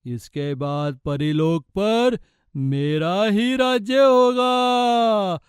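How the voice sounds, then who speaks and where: A man voicing a boastful, menacing evil wizard in a children's cartoon, with slow, drawn-out chanting boasts. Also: high-pitched, smooth, no room sound, studio quality. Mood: gloating, menacing. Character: boastful evil male wizard, menacing villain who laughs. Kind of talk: Hindi children's cartoon story acting.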